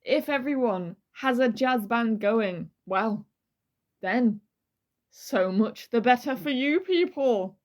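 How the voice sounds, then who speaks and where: Woman on a true crime pod, highish voice, reads stuff out dramatically then jokes about it. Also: lively intonation, slow. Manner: playful, irreverent, swears casually. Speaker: true crime podcast co-host. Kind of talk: dramatic letter reading mixed with casual banter.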